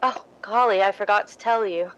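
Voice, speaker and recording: A woman's voice: medium pitch, normal speed, a bit bright, lo-fi sound, slight background noise, natural voice. A woman playing an eager, deferential young assistant in a 1950s radio drama, polite and sweetly innocent. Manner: polite, ingenuous, eager to please.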